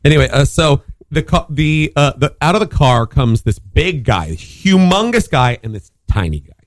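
A man's voice: on the high side, very animated, moderate pace, no echo, studio quality. A man telling a long story in casual chat, rambling with lots of fillers and restarts. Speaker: podcast co-host telling a story.

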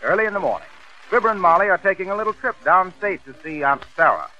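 A man with an American accent announcing a 1930s radio show in a polished, salesmanlike way, reading scripted pitches and scene-setting. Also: lively intonation, fast talker, pushed and bright. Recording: muffled, noisy 1930s radio recording.

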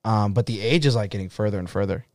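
A man in his twenties talks in a loose, rambling way, stacking up "like" as he works through a thought. His voice sits low and comes across smooth and clear. The sound is dry and close, with no room around it. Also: fast talker, no echo. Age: 20s. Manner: rambling, heavy use of "like", laughs loudly. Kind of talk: casual chat.

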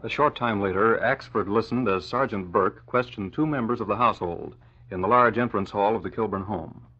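A man's voice: fairly low pitch, brisk, slight background noise. A man delivering scripted narration for an old-time radio drama. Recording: dull, lo-fi old radio recording.

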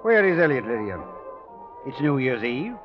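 An actor playing a formal, suspicious older gentleman in an old-time radio drama, courteous and stiff. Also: mid-range voice, big pitch swings, normal speed, soft background music, natural voice. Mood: suspicious, stern. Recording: muffled vintage radio recording.

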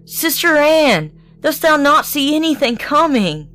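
A woman's voice: sing-song, measured pace, fairly bright tone, dry recording, heavily acted. A woman voicing a frightened young wife who pleads for help. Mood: pleading. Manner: pleading, high and breathless.

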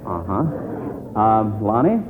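A man's voice: on the low side, sing-song, slow pace. A man hosting a 1940s radio quiz as substitute quizmaster, chatting with children in a folksy, chummy way. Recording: tinny, noisy old radio broadcast.

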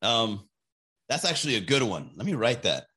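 A man's voice: mid-range voice, big pitch swings, moderate pace, pushed and bright, slightly rough. A man hosting a casual, profane live stream, joking loosely and chatting with viewers.

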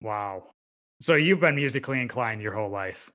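A man's voice: lowish voice, expressive, moderate pace, bright, forceful tone. A man from upstate New York with an American accent, interviewing a guest as a podcast host in an informal, encouraging way. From upstate New York, United States. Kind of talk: conversational interview.

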